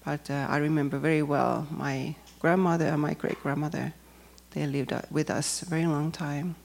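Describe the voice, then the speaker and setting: A woman from Finland with a Finnish accent, speaking English as a second language and giving a personal testimony in a careful, hesitant way with frequent pauses. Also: low-pitched, fairly even intonation, vocal fry, a little mellow. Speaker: church member giving testimony.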